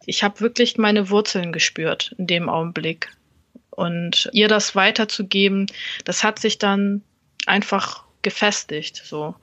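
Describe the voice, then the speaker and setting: A woman's voice: medium pitch, measured pace, a bit bright, dry recording, muffled recording. Woman talking close and dry. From Germany. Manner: thoughtful, explains at length, laughs easily. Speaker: stand-up comedian, podcast guest. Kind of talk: casual podcast conversation.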